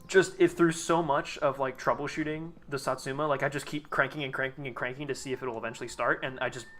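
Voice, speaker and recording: A man's voice: medium pitch, fairly even intonation, fast talker, fairly bright tone. A young American man streaming a game, chatting casually and rambling with lots of fillers and restarts. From United States. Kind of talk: casual chat while gaming.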